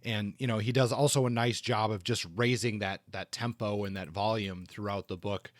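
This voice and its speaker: A man co-hosting a book discussion, speaking casually in a thoughtful, halting way with repeated words. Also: fairly low pitch, fairly even intonation, dry recording, clean studio sound. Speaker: podcast co-host reviewing crime novels.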